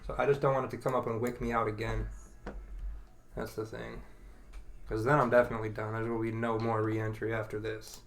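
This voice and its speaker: A man hosting a live trading session on a video call, talking through his trades and cheering the chart on with excitement. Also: on the low side, fairly quick, creaky. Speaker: host, forex trader.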